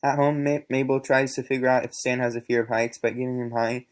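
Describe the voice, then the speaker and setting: Man, episode reviewer, monotone, halting, lots of ums, mid-pitched voice. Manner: halting, many fillers and self-corrections. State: voice recently recovered. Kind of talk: reading an episode recap.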